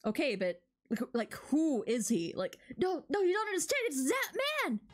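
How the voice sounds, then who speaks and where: A woman doing playful, excitable live game commentary and reading dialogue aloud with mock drama. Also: sits high, normal speed. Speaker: game streamer.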